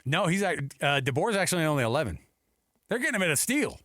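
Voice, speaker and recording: A man's voice: fairly high pitch, very animated, fast, sharp tone, no echo, clean studio sound. A man hosting a sports radio show with casual, teasing banter. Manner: playful, teasing.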